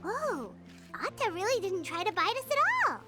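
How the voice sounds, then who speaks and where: A woman voicing a tiny, childlike floating fairy companion in a video game, squeaky, excitable and quick to exclaim. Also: loud music behind the voice. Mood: amazed, doubtful. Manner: exclamatory, refers to herself in third person. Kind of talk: video game character acting.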